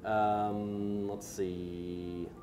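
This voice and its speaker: An American man in his forties chatting as co-host of a panel show in a hesitant, halting way. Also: lowish voice, little pitch movement, music in the background. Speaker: co-host of a geek culture show. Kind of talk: casual panel chat. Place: indoor room set, seated around a table. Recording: roomy sound, faint noise.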